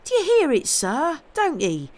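A man's voice: high voice, lively intonation, measured pace, pitched above the performer's own voice. A man voicing a frightened young servant with an English rural dialect, exclaiming and rambling in an audiobook story. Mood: terrified, agitated. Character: frightened rustic young servant, gabbling and superstitious.

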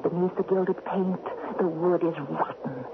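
A woman's voice: on the low side, a little slow. An actress playing a seductive, cruel spirit queen in a radio drama, theatrical, insinuating and commanding. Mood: taunting. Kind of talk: 1970s radio drama acting. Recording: tinny, hissy old radio recording.

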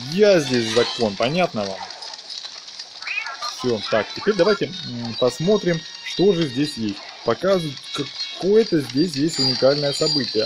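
A man narrates his video game in a casual let's play style. He talks along with the action playfully, in a mid-pitched voice. The recording is clearly noisy, with game sounds behind him. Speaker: gameplay commentator.

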